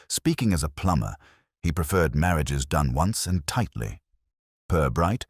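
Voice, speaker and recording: A man narrating a mystery story as a scripted reading, in a measured, dryly literary manner. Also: very deep voice, dry recording, clean studio sound.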